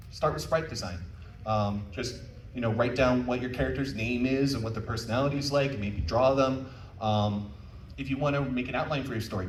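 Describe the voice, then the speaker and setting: A roomy, distant recording of a man giving an informal talk. He is frank and encouraging, chatting to his listeners in a conversational way, with faint noise behind him. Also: mid-range voice. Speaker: panel speaker. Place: convention panel room.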